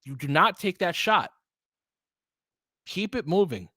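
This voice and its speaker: A man's voice: sits high, animated, slow pace, pushed and bright, no echo, studio quality. A man doing casual basketball play-by-play, mixing calls of the action with opinionated, conversational analysis and coaching the players aloud. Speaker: fan play-by-play commentator.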